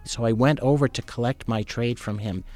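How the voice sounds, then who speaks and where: A man's voice: mid-range voice, normal speed, a little mellow, soft background music. A man, a journalist and former trader, telling a personal story in a candid, conversational way.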